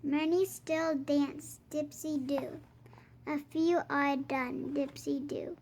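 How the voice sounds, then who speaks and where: High child's voice, slow pace, sing-song picture book read-aloud, noisy background. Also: steady tone, darkish tone. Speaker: channel host reading a picture book aloud. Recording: clear background noise.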